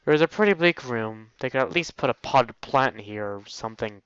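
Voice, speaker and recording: A man's voice: medium pitch, animated, a little fast, a bit bright, clean tone, no room sound, dull audio. A man reading a story game aloud in a casual let's play style, stumbling over words and breaking off into asides.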